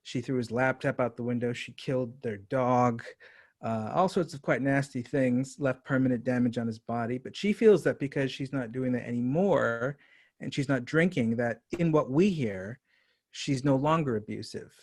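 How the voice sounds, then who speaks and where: Medium-pitched male interviewer, measured phrasing. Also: a little mellow. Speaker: interviewer, writer and director. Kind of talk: conversational interview questioning.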